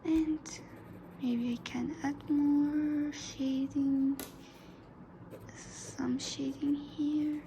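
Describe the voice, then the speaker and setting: A woman whose first language is Arabic, narrating a drawing tutorial softly, often dropping into a whisper. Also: sits high, little pitch movement, extremely slow, long pauses, a little hiss or hum. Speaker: art tutor.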